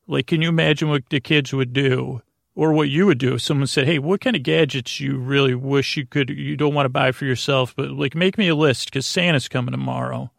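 A man hosting a bedtime podcast in a casual, rambling monologue, halting and drifting off into tangents, with a creaky voice. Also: medium pitch, fairly even intonation, dry recording, studio quality. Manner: meandering and halting, trails off into tangents. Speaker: podcast host.

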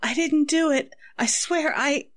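Slow, melodramatic radio-drama suspect wife. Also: female voice, high-pitched. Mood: anxious, defensive. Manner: melodramatic, faltering. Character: anxious, evasive wife accused of murder. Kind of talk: 1940s radio acting.

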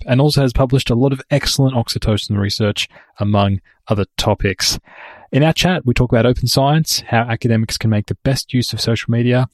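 Australian man, researcher, low-pitched, steady explainer. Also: no echo. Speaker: researcher and podcast host. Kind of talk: conversational interview answers.

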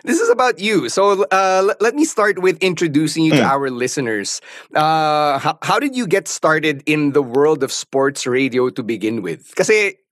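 A man's voice: a little high, sing-song, normal speed, few pauses, a bit bright, dry recording, clean studio sound. A man with a Filipino accent hosting an interview podcast, articulate and conversational. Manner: articulate, conversational, switches into Tagalog. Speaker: podcast host.

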